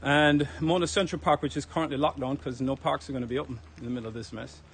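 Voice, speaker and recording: A man's voice: mid-range voice, fairly even intonation, fast, slight background noise. A man with a Jamaican accent chatting casually to viewers on a livestream while walking uphill outdoors, a bit out of breath. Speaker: livestreaming fitness vlogger. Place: outdoors on a hill road. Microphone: phone held while walking.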